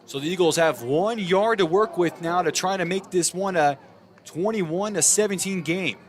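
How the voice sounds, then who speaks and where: High-pitched young American man calling football. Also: normal speed. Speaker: American football play-by-play announcer. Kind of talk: live sports play-by-play commentary.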